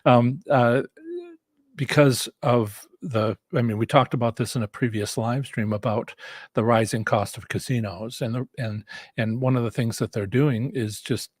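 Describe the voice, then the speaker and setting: A man with a middle-pitched, husky voice that sounds a little worn from overuse. He teaches casually as a live-stream host, halting and circling back over his phrases. The recording is dry and close, with little room sound. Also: fairly even intonation, darkish tone, no room sound. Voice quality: slightly rough, husky voice. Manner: halting, rambling, repeats and restarts phrases. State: voice worn from a lot of talking. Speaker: host and instructor of a live stream on slots strategy.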